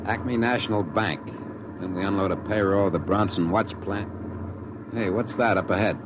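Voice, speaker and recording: Guy playing terse truck driver, lowish voice, old radio acting, really loud vehicle noise behind. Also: moderate pace, lightly acted. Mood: matter-of-fact, wary. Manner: terse, matter-of-fact. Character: practical, seasoned male armored truck driver. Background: loud truck sound effects.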